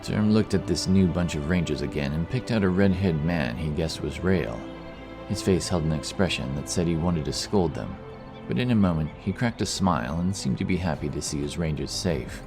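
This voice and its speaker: A man's voice: very deep voice, lively intonation, moderate pace. A man reading scripted narration for a fantasy audiobook. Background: music.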